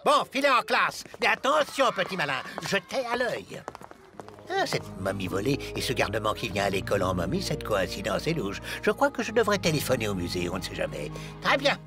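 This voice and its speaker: A man voicing a stern, suspicious, easily exasperated school supervisor in an animated series, scolding and blustery. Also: mid-range voice, fairly quick, over music. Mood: officious. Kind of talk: French animated series voice acting.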